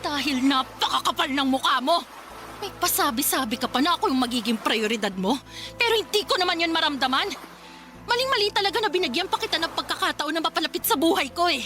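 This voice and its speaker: Radio drama girlfriend, betrayed and fiery: very high, bright, piercing scolding, soft music underneath. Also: lively intonation, fast. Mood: hurt, furious. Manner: shrill, scolding, emphatic. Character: furious, betrayed live-in girlfriend, fiery and confrontational. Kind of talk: melodramatic radio drama acting. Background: background music.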